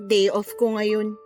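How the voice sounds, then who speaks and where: A woman's voice: medium pitch, darkish tone. A woman acting a troubled, hurt young girlfriend in a Tagalog radio drama.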